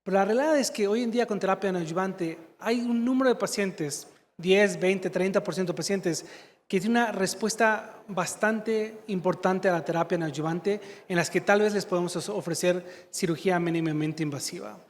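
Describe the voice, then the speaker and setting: A man's voice: high voice, normal speed. A man from Mexico giving a medical conference talk, fluent but searching for words and filling gaps with "este". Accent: slight Mexican Spanish. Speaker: conference speaker, surgeon. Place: large conference hall. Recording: long hall reverb.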